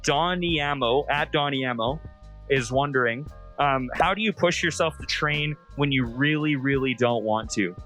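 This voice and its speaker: A man's voice: mid-range voice, moderate pace, a bit bright, faint music behind. A man hosting a casual interview, asking questions in an easy conversational way. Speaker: interview show host. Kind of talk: casual interview with quick-fire game questions.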